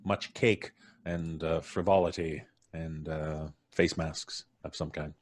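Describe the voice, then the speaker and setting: Deep-voiced man hosting a live stream. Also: fairly even intonation, takes it slowly, slight background noise. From Canada. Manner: jokey, showy announcer-style introductions. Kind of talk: casual live stream hosting, introducing guests.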